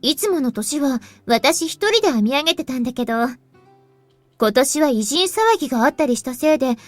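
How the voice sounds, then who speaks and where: A woman's voice: high voice, animated, racing, dry recording, soft background music. An actress playing a cheerful, coaxing young shrine woman in a Japanese visual novel. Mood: apologetic, friendly.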